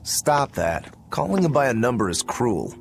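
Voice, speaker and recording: Lively, easygoing young adventurer. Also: male voice, mid-range voice, moderate pace, gravelly, slight background noise. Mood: concerned. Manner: casual, teasing, laid-back. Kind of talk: English video game voice acting.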